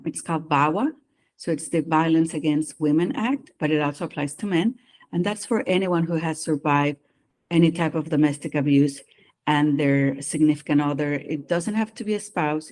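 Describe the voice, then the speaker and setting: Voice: mid-range voice, darkish tone. A psychologist guest with a mixed accent of their own, earnestly explaining their work and speaking openly about personal experience. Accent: mixed non-native English accent. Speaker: psychologist guest who does immigration evaluations. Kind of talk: interview conversation.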